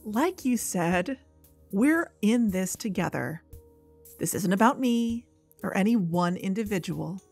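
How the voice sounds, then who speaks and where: A woman's voice: medium pitch, expressive, slow pace, darkish tone, soft background music. A woman playing a guarded, earnest podcast investigator in a conversational audio drama. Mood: defensive, earnest. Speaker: fictional podcast co-host.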